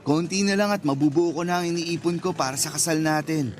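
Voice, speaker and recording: Sweet-talking Filipino boyfriend, acted by a man, slightly high-voiced. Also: fairly even intonation, a little fast, a little hiss or hum, natural voice. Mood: reassuring, playful. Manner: teasing, earnest, affectionate. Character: sweet-talking, playful young boyfriend promising marriage. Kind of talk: radio romance drama acting.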